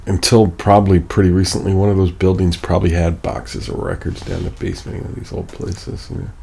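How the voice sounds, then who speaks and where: Low mellow guy hosting a show, just chatting casually, little bit of noise behind him. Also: level tone, brisk, creaky. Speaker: podcast host. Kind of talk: casual chat.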